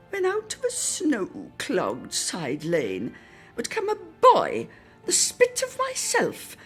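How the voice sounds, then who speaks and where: A woman reading a literary Christmas reminiscence aloud in a theatrical, expressive style, lingering on words for emphasis. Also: a little high, breathy edge. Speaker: reader in a radio players' production.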